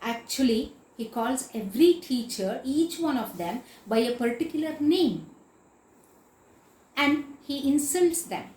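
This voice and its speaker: A woman's voice: high-pitched, animated, a little slow, darkish tone. A woman teacher giving an explanatory literature lecture in a didactic, emphatic way, repeating and spelling out key words. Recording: echoey room.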